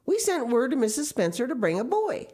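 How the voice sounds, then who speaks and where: A woman voicing a stern, practical middle-aged spinster who speaks briskly and dryly. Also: high voice, big pitch swings, mellow, breathy edge, voice pushed up, full character voice. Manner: brisk, no-nonsense, dry.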